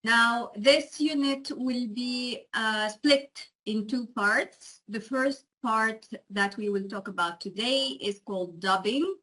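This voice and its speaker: A woman's voice: on the high side, slow pace, few pauses, bright, forceful tone, low-quality recording. A woman giving an explanatory lecture to students, often checking in with her listeners. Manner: frequent check-ins with listeners.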